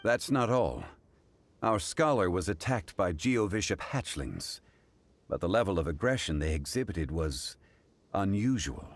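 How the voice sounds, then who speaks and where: A man voicing a composed, dignified male consultant in a video game, speaking in a measured, formal way. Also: deep voice, sing-song, exaggerated voice. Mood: grave.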